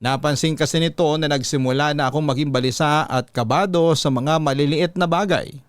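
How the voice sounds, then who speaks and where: A man narrating a first-person confession as scripted radio storytelling, measured and earnest, recorded close on a studio mic. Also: fairly high pitch, dry recording, lightly acted. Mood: earnest, reflective.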